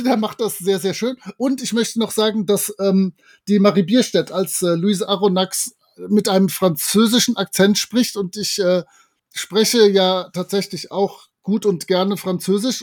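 A man co-hosting a casual podcast chat about audio dramas, telling things with emphatic exaggeration. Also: sits high. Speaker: podcast co-host.

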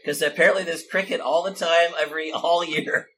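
A man's voice: fairly high pitch, steady tone, a little fast, a loud crowd. A man hosting a casual, unscripted podcast conversation. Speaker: podcast host.

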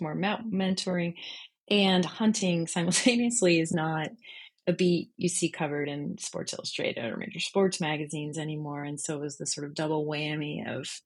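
A woman's voice: low-pitched, darkish tone, breathy edge. A woman speaking as an interview guest, in a conversational and reflective style. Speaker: interview guest, freelance journalist.

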